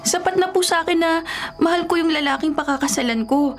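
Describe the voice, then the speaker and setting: Over background music, a rich young Filipina daughter in a radio drama. Her voice is high and coy. She is lovestruck and headstrong, slipping English words into her talk. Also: a little fast. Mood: pleading, defiant. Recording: music bed behind the voice.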